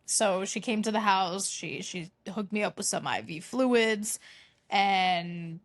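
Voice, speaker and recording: A woman's voice: mid-range voice, slow pace, dry recording. A woman co-hosting a podcast, telling things casually with slang and dramatic exaggeration. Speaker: podcast co-host. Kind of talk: casual chat.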